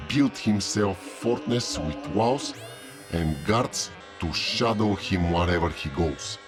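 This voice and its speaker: An actor playing a gossipy tavern keeper who tells stories in a folksy, unhurried way in an audio drama. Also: male voice, low-pitched, lively intonation. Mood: grave storytelling. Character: gossipy male innkeeper at a moon tavern. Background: music.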